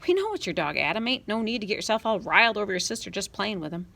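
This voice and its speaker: Give me a woman playing a gentle folksy mountain trapper, a bit hesitant and gravelly. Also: medium pitch, expressive, quick pace, exaggerated voice. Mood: soothing. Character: gentle rough-spoken mountain trapper man.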